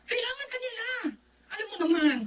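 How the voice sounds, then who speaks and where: An actress playing an excitable young woman cousin in a radio drama, scolding and pleading. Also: very high voice, a little fast, a little hiss or hum.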